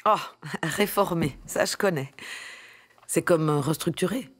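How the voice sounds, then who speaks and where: An actress playing a naive, timid employee in a satirical radio drama, speaking haltingly and deferentially, as if asking permission. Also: female voice, low voice, husky. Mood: tentative, curious. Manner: hesitant, halting, deferential, questioning. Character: timid, naive female employee being coached.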